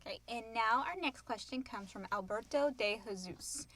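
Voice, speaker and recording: A woman's voice: on the high side, normal speed, fairly bright tone. A woman co-hosting a podcast, chatting casually in a question-and-answer session. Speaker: podcast co-host and co-creator. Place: small enclosed recording booth.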